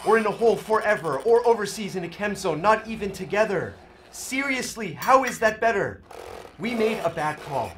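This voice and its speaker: A man's voice: high-pitched, expressive, moderate pace, a bit bright, slight background noise. An actor playing a resigned, earnest man on the run, pleading with his lover in an audio drama.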